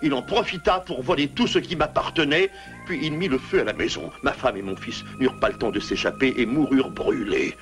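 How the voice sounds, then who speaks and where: A man's voice: mid-range voice, expressive, a little fast, faint music behind, lightly acted. A man voicing a sensitive, self-pitying loner animal in a French cartoon, with a plaintive, formal and dramatic delivery. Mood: bitter, mournful. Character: sensitive, self-pitying male loner animal, once wronged, gruffly kind. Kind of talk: French cartoon dub acting.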